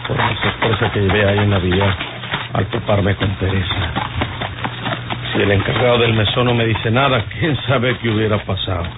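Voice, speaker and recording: Man acting weary outlaw, low voice, tinny radio. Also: steady tone, normal speed, lightly acted. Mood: weary, musing. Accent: northern Mexican. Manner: muttering to himself, folksy country speech. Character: wary, weary male outlaw on horseback, rustic. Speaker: radio actor. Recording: noisy, tinny old radio recording.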